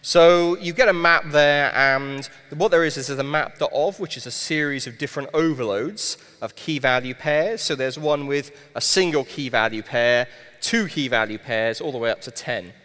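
A man's voice: medium pitch, moderate pace, fairly bright tone, smooth. A man from Wales in his thirties, giving a live-coded tech talk on a conference stage with a headset mic, explaining with dry asides. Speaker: conference speaker live-coding. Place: large conference hall stage. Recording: long hall reverb.

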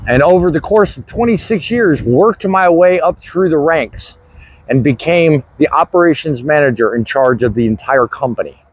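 A man's voice: fairly high pitch, animated, slight background noise, rough recording. A middle-aged man, a truck driver and vlogger, talking earnestly and reflectively to the camera from inside his truck cab on a lapel mic. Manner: earnest, reflective, deliberate phrasing. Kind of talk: casual talk to camera. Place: inside a truck cab, also outdoors in a parking lot. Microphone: small lapel mic.

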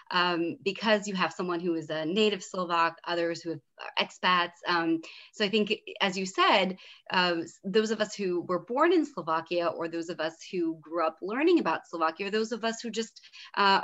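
Low-voiced woman moderator over muffled call audio. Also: a bit bright. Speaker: webinar moderator. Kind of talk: video call interview. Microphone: video call.

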